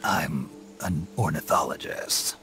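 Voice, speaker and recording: An actor playing a gruff, guarded soldier in a video game cutscene. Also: male voice, very deep voice, takes it slowly. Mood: guarded, casual. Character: gruff, guarded soldier man posing as a birdwatcher. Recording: music behind the voice.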